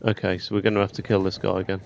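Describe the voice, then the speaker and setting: A man's voice: sits low, level tone, very fast, vocal fry, dull audio, slight background noise. A man giving casual, colloquial gameplay commentary, thinking aloud as he goes. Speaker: gaming YouTuber.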